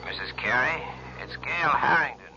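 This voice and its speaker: An actor playing a methodical, insistent police lieutenant, polite but persistent and measured, in a radio drama. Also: male voice, a little high, lively intonation, creaky. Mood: pressing, grave. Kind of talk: 1970s radio drama acting. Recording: dull, noisy radio recording.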